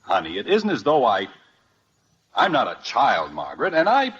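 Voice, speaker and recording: A man's voice: mid-range voice, wildly swooping pitch, normal speed, a bit bright. A man playing a coaxing, easily exasperated middle-aged father in a 1950s radio family comedy. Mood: defensive. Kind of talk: 1950s radio sitcom acting. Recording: dull, hissy old radio recording.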